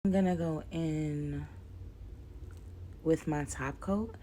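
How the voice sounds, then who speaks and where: A young woman, a little raspy from a cold, chatting loosely through a nail tutorial and saying "like" and "guys" a lot. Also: low voice, takes it slowly, music in the background. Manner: rambling, says "like" and "guys" a lot. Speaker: nail vlogger. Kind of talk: casual chatty tutorial voice-over.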